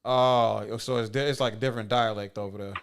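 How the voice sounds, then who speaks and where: Smooth-voiced rambling Chicago host. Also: male voice, mid-range voice, fairly even intonation, brisk. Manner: curious, rambling, with many restarts. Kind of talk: casual chat.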